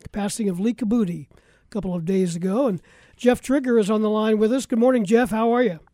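A man with an American accent hosts a radio sports interview. His voice is high and on the mellow side, recorded close and dry. He phrases things haltingly. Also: no room sound. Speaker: radio show host.

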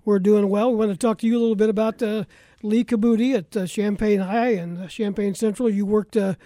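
A man with an American accent hosts a radio sports interview. His voice is high and on the mellow side, recorded close and dry. He phrases things haltingly. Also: steady tone, no room sound. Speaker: radio show host.

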